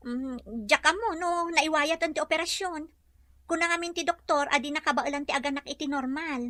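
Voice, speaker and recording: High, bright young village woman played by an actress. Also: normal speed, dry recording, clean studio sound. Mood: matter-of-fact. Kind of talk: Filipino Ilocano audio drama acting.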